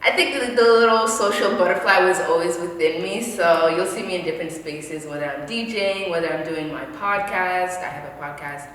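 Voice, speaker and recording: A woman's voice: fairly low pitch, brisk, few pauses, a bit bright, very echoey, in a large hall. A woman in her thirties, born in Kenya, chatting as an interview guest, laughing often and trailing off with fillers. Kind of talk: casual interview chat.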